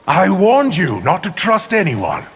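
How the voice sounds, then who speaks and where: An actor playing a scheming, power-hungry sorcerer villain in a fighting game, haughty, menacing and contemptuous in formal speech. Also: male voice, sits high, huge pitch swings, a little slow, fairly bright tone, soft background music, heavily acted. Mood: cold contempt.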